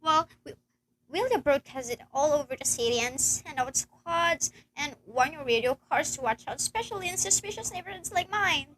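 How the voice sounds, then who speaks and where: A woman's voice: high voice, expressive, moderate pace, fairly bright tone, rough recording. A woman playing a nervous, demanding invalid wife in an amateur radio play, stammering and insistent. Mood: uneasy, pleading.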